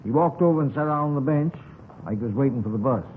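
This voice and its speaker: An American actor playing a chatty, folksy newsstand owner who rambles off the point, in 1950s radio drama style. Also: male voice, mid-range voice, expressive, fast talker, natural voice. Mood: matter-of-fact, defensive. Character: chatty, rambling newsstand owner, a married man witnessing a shooting. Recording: noisy, tinny 1950s radio recording.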